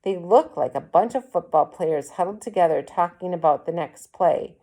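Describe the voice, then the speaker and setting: A woman reading a children's story aloud as an expressive storyteller. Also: lowish voice, normal speed. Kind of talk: expressive children's book narration.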